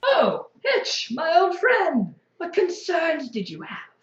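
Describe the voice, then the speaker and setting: A woman's voice: very high voice, huge pitch swings, slow, roomy sound, lo-fi sound, full character voice. A woman playing a grandiose, effusively polite but unrepentant revolutionary zealot in an audio drama, speaking in formal, stilted phrases. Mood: placating. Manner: formal, stilted, refers to self as "one".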